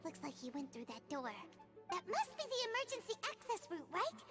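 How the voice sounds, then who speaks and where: Small female sprite companion; squeaky, bouncy, excitable, over loud game score. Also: fairly quick, loud music behind the voice. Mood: excited. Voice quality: high and squeaky.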